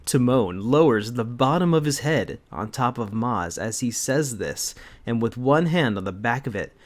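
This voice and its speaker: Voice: medium pitch, animated, moderate pace. A person giving a comedic dramatic reading in a giggly, sarcastic manner, breaking off to comment. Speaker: co-reader and commentator. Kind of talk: comedic dramatic reading of fan fiction with banter.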